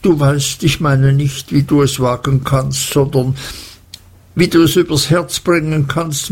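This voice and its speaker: A fretful young widowed mother, pitched high and plaintive, as a male narrator puts her on. The voice is slow and husky with a gravelly edge. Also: a little mellow, full character voice. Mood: indignant.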